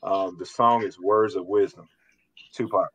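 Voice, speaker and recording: A man's voice: low-pitched, steady tone, measured pace, breathy edge, no echo, muffled recording. A man co-hosting a podcast, playful and teasing, using hip hop slang. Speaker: podcast co-host. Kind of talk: casual podcast chat.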